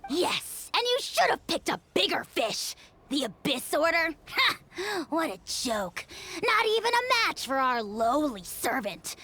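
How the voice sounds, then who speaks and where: A woman's voice: high-pitched, big pitch swings, a little slow, sharp tone, exaggerated voice. A woman voicing a small, pompous, bad-tempered dragon lord in a video game, haughty and bombastic. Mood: haughty, scornful.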